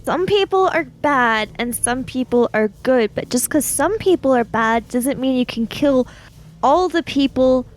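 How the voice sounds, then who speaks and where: A woman's voice: high-pitched, animated, a little slow. A woman playing a blunt, moral young hero in a tabletop roleplay, plain-spoken and dry. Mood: earnest arguing. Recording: faint background noise.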